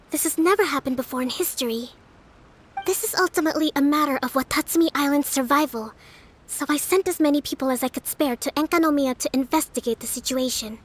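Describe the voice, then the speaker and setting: High-pitched young priestess leader for a game, woman acting, formal, composed and earnest. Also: close to an everyday voice.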